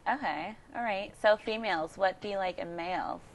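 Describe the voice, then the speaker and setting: A woman's voice: mid-range voice, normal speed. A woman hosting and moderating a casual group discussion, putting questions to guests. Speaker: host. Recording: noticeable background noise, room ambience.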